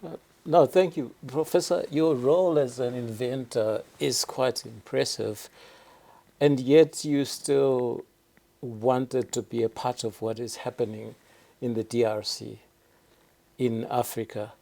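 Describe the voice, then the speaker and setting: A male interviewer with a gravelly, mellow voice. He asks his questions haltingly, stumbling over and repeating words. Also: mid-range voice, fairly even intonation. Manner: hesitant, repeats words while asking. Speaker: interview host.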